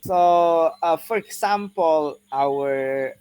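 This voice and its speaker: A man with a Khmer accent giving an online lecture, speaking haltingly with many "uh" and "okay" fillers. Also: on the high side, a little mellow, clear, resonant tone, no room sound. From Cambodia.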